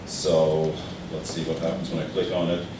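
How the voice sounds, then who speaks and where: A man's voice: rumbling low, level tone, moderate pace. A middle-aged man with a German accent gives an informal workshop lecture, talking conversationally with many fillers and restarts. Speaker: physics professor teaching a workshop. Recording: distant mic in an echoey room with background noise.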